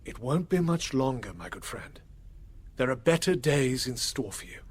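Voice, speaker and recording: An actor playing an authoritative, secretive gentleman investigator in a period audio drama, husky, measured and formal. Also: mid-range voice, sing-song. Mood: reassuring, firm.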